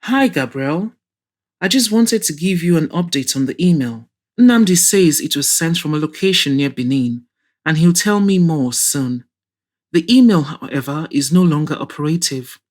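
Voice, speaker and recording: Woman reading as a calm, reassuring male friend. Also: low-pitched, moderate pace. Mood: informative. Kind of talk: audio drama reading.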